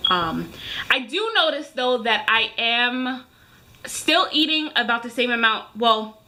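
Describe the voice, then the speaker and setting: A woman's voice: a little high, animated, slow pace, sharp tone, rough recording. A woman in her thirties speaking casually and emphatically to viewers, like a vlogger airing a rant. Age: 30s. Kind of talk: casual ranting chat to viewers.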